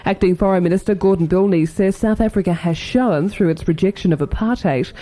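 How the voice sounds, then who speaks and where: A woman's voice: mid-range voice, brisk, dark tone. A woman with an Australian accent reading a radio news bulletin. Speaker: newsreader.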